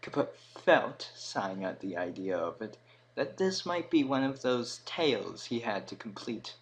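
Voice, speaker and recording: A man reads a fantasy tale as a dramatic narrator. He takes his time, swelling on some words and dropping low on others, in a middling register. There is audible noise behind the voice. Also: big pitch swings, a little slow. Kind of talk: scripted story narration, fantasy audio tale. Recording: clear background noise.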